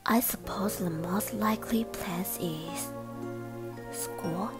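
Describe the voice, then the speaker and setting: A woman playing a steady, helpful senior police detective in a student English radio drama, with a Taiwanese accent. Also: medium pitch, takes it slowly, close to an everyday voice. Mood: serious. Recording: voice over clear background music.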